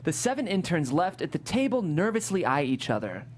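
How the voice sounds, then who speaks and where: Animated woman host with a mid-to-low voice. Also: fairly quick, slight background noise. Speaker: host and narrator. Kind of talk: lively panel hosting and script reading.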